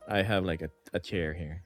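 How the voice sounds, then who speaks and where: A man in his thirties streaming from home, chatting casually and reading chat messages aloud with frequent laughs. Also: sits low.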